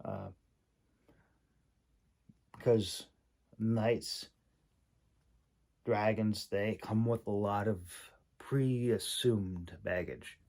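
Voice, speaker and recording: A man giving a casual, unscripted critique as a host, halting and thinking aloud with long pauses. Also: on the low side, fairly even intonation, a little mellow. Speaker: host critiquing a writer's manuscript.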